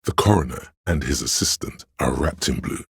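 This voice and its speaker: Very deep gravelly grave game narrator. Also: male voice, lively intonation, moderate pace, no room sound, clean studio sound, heavily acted. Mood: grave, measured. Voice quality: very deep gravelly husky rasp. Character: inner voice of police camaraderie, grave omniscient male narrator. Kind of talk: dramatic literary game narration.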